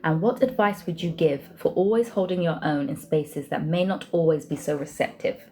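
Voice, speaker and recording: A woman with a voice a little on the low side hosts a podcast. She presents and asks questions in an interview style. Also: normal speed, a little mellow. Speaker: podcast host.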